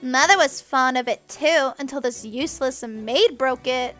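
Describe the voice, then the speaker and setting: A smug, cruel young noblewoman, put on by a woman reading aloud, lifts her voice higher than the narration and drips with taunting disdain. The tone is bright and cutting, quick and sneering. Soft music plays faintly behind. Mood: petulant.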